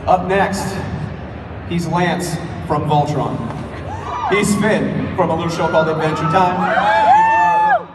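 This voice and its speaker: A man's voice: sits high, huge pitch swings, slow pace, long pauses, far from the mic, very noisy. A man hosting a live stage event at a fan convention, introducing guests to the audience in an announcer's manner. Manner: announces names loudly to the crowd. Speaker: event host introducing voice actors. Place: large convention hall stage. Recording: echoey hall from afar, crowd, music.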